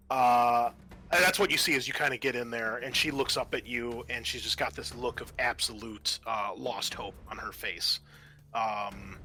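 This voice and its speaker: Guy gm-ing a horror tabletop game, sharp bright voice, dramatic but halting, some music in the back. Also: mid-range voice. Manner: halting delivery with vivid, dramatic descriptions. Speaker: game master. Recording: music playing behind.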